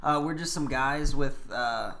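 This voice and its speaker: American guy on a podcast, normal mid pitch, casual and jokey co-host chat. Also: unhurried, vocal fry, sharp tone, slight background noise.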